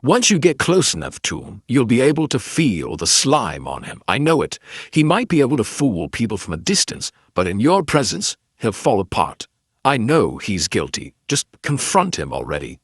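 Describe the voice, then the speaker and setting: A woman plays an insistent, grumpy young man from an audiobook thriller. The voice is husky and swings widely in pitch as he presses his point. The sound is close and dry. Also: huge pitch swings, normal speed, dry recording. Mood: insistent, urgent. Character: young male friend, grumpy, insistent vigilante tech helper.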